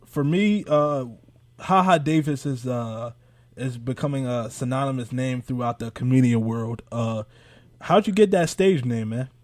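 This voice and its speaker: A man's voice: medium pitch, measured pace, darkish tone, no echo. Man, podcast co-host, casual interview talk, dry close sound. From United States, Philadelphia area.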